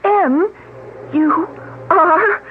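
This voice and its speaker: A woman playing a hostess who reads out a Ouija board, slow and hushed, in a radio drama. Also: very high-pitched, exaggerated voice. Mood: sociable. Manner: slow and hushed, then bright. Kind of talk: 1970s radio drama acting. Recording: tinny old radio broadcast with faint hiss.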